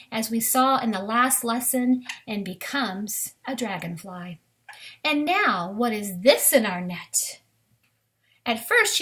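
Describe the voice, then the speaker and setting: A woman with a fairly high voice reads a nature book aloud for children. She speaks to her young listeners directly, as a teacher would in a lesson. Now and then she adds a short aside of her own to the written text. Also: a bit bright.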